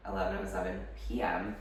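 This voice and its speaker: A young woman vlogging from her home studio, a little hoarse from a head cold, chatting casually with lots of fillers. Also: a bass voice, little pitch movement, moderate pace, recorded from a distance, slight background noise. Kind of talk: casual chat to camera. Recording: echoey room, voice off the mic.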